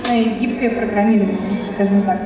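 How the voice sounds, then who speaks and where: Female presenter making an introduction, heard from a distance in heavy echo with clear background noise. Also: mid-range voice, fairly even intonation, fast talker. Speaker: event host introducing the speaker. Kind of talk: spoken introduction. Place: open-sided stage before an audience. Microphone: handheld microphone through a PA. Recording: echoey, reverberant, distant, with loud ambient noise.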